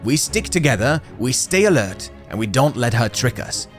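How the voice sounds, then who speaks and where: A decisive young leader in an adventure audio story, voiced by a man, firm and brisk. The pitch is raised, with faint music behind. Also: very animated, lightly acted. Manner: firm, take-charge.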